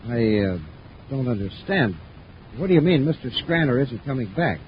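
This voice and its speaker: An actor playing a hesitant, deferential space company underling in a 1950s radio adventure serial. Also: male voice, mid-range voice, expressive, moderate pace, close to an everyday voice. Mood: uneasy. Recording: muffled, hissy 1950s radio broadcast.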